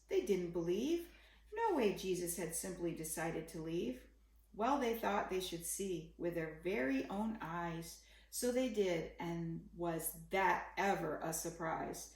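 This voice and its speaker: A woman's voice: mid-range voice, creaky, roomy sound. A woman reading a rhyming children's Bible story aloud in a simple, dramatic way, as if to a young child.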